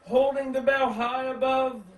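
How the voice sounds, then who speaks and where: A man reading a children's story aloud in an animated, dramatic, drawn-out way. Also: very high-pitched. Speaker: story reader. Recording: roomy, distant mic, background noise.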